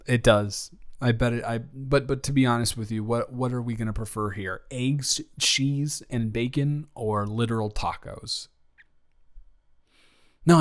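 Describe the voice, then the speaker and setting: A man acts out a young guy in love with his best friend, in a romantic audio roleplay. His voice sits at a medium pitch. He banters and teases, often stammering and restarting as he talks. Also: lightly acted. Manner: bantering, halting, with stammers and breathy laughs.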